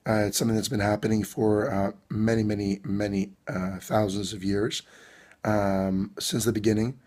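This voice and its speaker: Hoarse priest, halting and hesitant. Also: male voice, fairly low pitch, little pitch movement, a little mellow. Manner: hesitant, many ums and uhs. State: hoarse, losing his voice. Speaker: Orthodox priest. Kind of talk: video blog address. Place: abroad on a trip.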